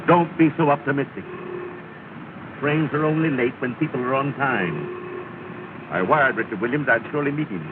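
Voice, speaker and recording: An actor playing an exasperated, fussy well-to-do gentleman in a 1930s film, indignant and formal in his diction. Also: medium pitch, moderate pace, pauses often, lightly acted. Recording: distant, tinny, noisy 1930 film soundtrack.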